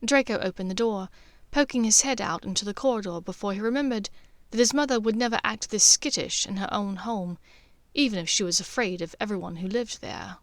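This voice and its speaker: A woman's voice: medium pitch, fairly quick, no room sound. A woman reading scripted story narration as an audiobook narrator.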